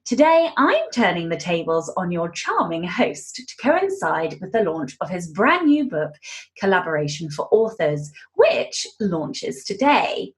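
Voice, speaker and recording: Woman hosting a podcast, chatty, lowish voice that goes up and down loads. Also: sing-song, a little slow. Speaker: guest podcast host.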